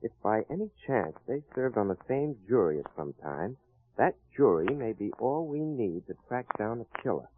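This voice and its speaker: An actor playing a wry, confident private detective in a hard-boiled old-time radio crime drama. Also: male voice, fairly low pitch, sing-song, moderate pace, background noise. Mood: wry, assured. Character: wry confident male private detective cracking a murder case. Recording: muffled vintage radio recording.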